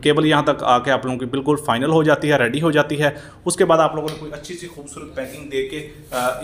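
Young man giving an earnest selfie sales pitch. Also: fairly bright tone, slight background noise. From Pakistan. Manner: earnest, repetitive sales pitch, many fillers. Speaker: small business owner promoting machines. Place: small workshop and shop indoors. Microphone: phone held at arm's length.

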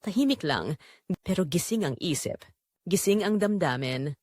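Female narrator for emotional audio drama, animated mid-pitched delivery, very dry sound. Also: moderate pace, no room sound. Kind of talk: dramatic scripted story narration.

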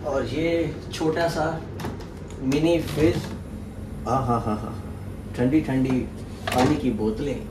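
A man's voice: medium pitch, steady tone, takes it slowly, long pauses. A young man chatting casually to his viewers as a travel vlogger. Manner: chatty, addresses viewers directly. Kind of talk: casual vlog narration. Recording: noisy road-trip background.

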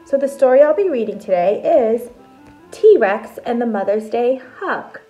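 A woman with a high voice reads a children's story aloud like a teacher. Her delivery swings widely in pitch, deliberate and expressive. Faint music plays behind her. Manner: animated, deliberate, explains terms simply. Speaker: teacher reading a picture book aloud. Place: small decorated room at home, indoors.

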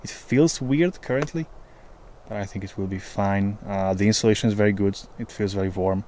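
A man in his thirties from Hungary, casually talking to a close-held camera outdoors in the woods, often pausing with uh. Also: lowish voice, a little mellow, a little hiss or hum. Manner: hesitant, many filler uhs. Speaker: vlogger.

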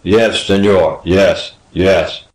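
An actor playing a timid, servile old tavern keeper, humble and quavering, in a dramatised audiobook. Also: male voice, deep voice, very slow, roomy sound, low-quality recording. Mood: timorous, servile.

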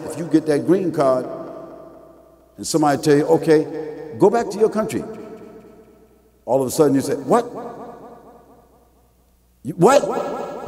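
An older man, giving a mosque lecture, firing rhetorical questions at the audience and swinging from loud to quiet. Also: medium pitch, gravelly, big-room sound. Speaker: Islamic preacher. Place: large mosque hall, seated in armchair. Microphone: stand mic and lapel mic.

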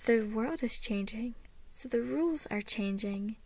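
A woman voicing a sinister family collective in a story, smug and menacing, with a slow, drawn-out sing-song delivery. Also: fairly high pitch, slightly rough. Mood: smug menace.

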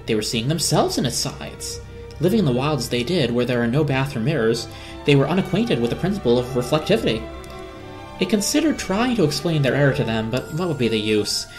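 A woman narrating a children's storybook as an expressive dramatic reading. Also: very deep voice, fairly quick, over music.

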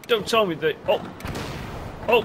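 A man's voice: high-pitched, unhurried, background noise. A man hosting a gaming channel, chatting and exclaiming as he comments on his gameplay. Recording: game music behind voice.

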